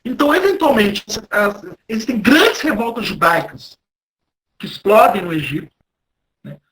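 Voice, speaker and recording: Bright-voiced Brazilian man lecturing. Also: high voice, animated, low-quality recording. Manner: halting, repeats and rephrases words. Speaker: history professor, guest lecturer. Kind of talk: academic lecture, spoken off the cuff.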